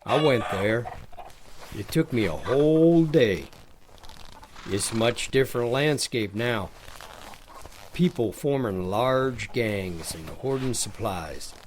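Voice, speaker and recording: Man playing slow-talking, earnest survivor. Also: medium pitch, animated. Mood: grave. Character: grave, caring husband surviving after disaster. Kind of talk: audio drama acting. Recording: clearly noisy, clicks and farm animal sounds.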